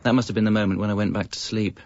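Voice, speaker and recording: Radio comedy lead: man as idle, evasive composer narrator, deep-ish and deadpan, on a dull-sounding recording. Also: rapid-fire, close to an everyday voice. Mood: wry narration. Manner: dry, self-justifying. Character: lazy, evasive slacker jingle composer telling his story. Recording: slightly muffled radio recording.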